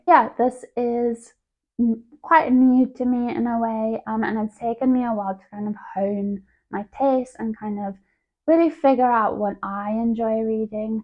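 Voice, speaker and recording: Gravelly woman, tinny sound. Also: mid-range voice. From South Africa. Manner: chatty and conversational. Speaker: book vlogger. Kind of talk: casual chat to camera.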